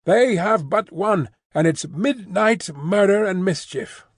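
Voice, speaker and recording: Man doing a prim, bustling housekeeper lady, high and lilting, dry close sound. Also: lively intonation, slow, no echo, exaggerated voice. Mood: emphatic. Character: fussy, fiercely loyal Englishwoman housekeeper.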